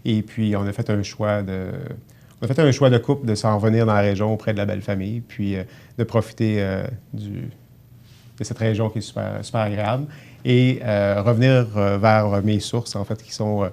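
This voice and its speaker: A middle-aged man from Abitibi speaks with a Québécois accent in a slightly low voice. He talks earnestly but haltingly, filling his pauses with hesitations as he explains his work. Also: fairly even intonation, darkish tone. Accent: Québécois French. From Abitibi, Quebec. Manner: earnest, many hesitations and "euh" fillers. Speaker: grocery store general manager, guest. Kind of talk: casual TV interview.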